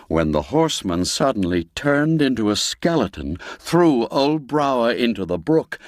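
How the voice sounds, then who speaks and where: A man narrating a classic folk tale as an audiobook, in a theatrical, measured storytelling manner with deliberate pauses. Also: medium pitch, no echo, studio quality.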